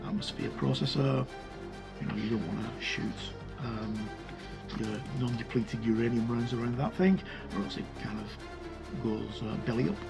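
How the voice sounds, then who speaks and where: Man, medium pitch, gravelly fry, informal unscripted review commentary, hesitant and rambling, clear music behind the voice. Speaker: host of a tabletop game review channel. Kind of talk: casual unscripted review commentary while paging through a book.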